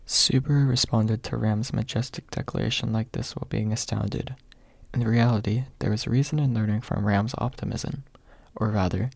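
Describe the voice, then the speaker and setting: A man narrating an audiobook in a soft, close ASMR reading style, with a husky, gravelly voice. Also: lowish voice, no echo.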